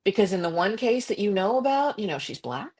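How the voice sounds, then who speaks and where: A middle-aged woman from Croatia, a journalist guest talking passionately in an interview, with frequent restarts and quick laughs. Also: slightly rough. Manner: animated, impassioned, jumps to high pitch for quoted voices. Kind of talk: conversational interview.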